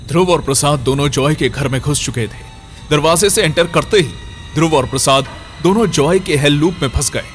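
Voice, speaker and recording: A man's voice: on the high side, moderate pace. Male horror storyteller over prominent music. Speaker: storyteller, narrator. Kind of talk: scripted horror story narration.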